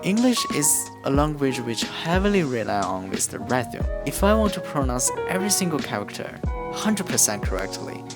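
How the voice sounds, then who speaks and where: A man's voice: mid-range voice, sing-song, normal speed. A man whose first language is Korean, from near the China–North Korea border, giving earnest, plain English-learning tips to camera. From China–North Korea border region. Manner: earnest, plain, non-native phrasing with restarts. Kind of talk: instructional talk to camera. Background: guitar music.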